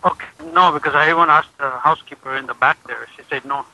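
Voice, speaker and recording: Sharp, bright-voiced hesitant man on phone. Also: fairly high pitch, steady tone, fast talker. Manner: hesitant, stammering, repeats himself. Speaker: prank call victim answering the phone at work. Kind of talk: unscripted phone conversation. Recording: telephone line audio.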